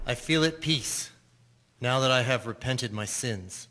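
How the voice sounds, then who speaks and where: An actor playing a brash, lustful, hot-tempered young sailor in a radio drama. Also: male voice, medium pitch, a little slow, heavy background noise, natural voice. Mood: repentant. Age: young adult.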